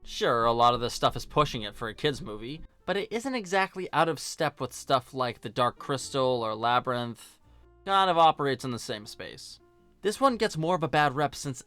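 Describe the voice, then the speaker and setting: Man, mid-range voice, video essay narration, conversational, wry deadpan asides, light music underneath. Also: mid-range voice. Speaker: film review video host.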